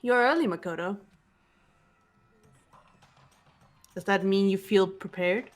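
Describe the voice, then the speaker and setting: A composed teenage detective girl voiced by a woman speaks in an even, mid-pitched voice. She is measured and reserved and chooses her words with care. She gives little emotion away. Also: soft background music, lightly acted. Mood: reassuring. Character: calm guarded teen girl detective. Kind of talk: fan voice acting of a game.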